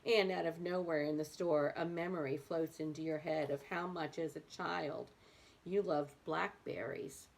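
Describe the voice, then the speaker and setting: Woman reading a book out loud, takes her time, bit of gravelly fry, leans on her words. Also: fairly low pitch. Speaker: reader of a book aloud for adults.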